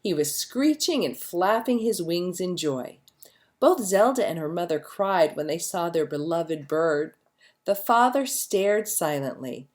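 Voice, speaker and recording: A woman reading a children's story aloud as a narrator, with expressive storytelling delivery. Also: on the low side, measured pace. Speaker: storybook reader.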